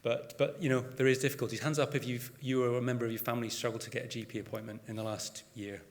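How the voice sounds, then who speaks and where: A man's voice: medium pitch, fairly even intonation, fast. A Scottish man, a GP, giving a conversational conference talk with frequent restarts and fillers. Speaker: GP and conference speaker. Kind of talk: conference presentation. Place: large conference hall. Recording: reverberant conference hall.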